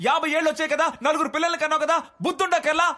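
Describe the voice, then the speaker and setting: A fast-talking young reporter. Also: male voice, very high-pitched, little pitch movement, pushed and bright, heavily acted. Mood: yelling. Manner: rapid news delivery, then harsh scolding. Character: young reporter son, bitter and short-tempered. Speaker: TV news reporter and son. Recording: heavy film score behind the voice.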